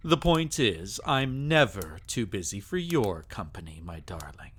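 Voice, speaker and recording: A man's voice: on the low side, big pitch swings, a little slow, fairly bright tone, slightly rough, exaggerated voice. A man acting as a sadistic, possessive vampire lord in an intimate ASMR roleplay, teasing and taunting with theatrical, menacing endearments. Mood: coaxing, commanding.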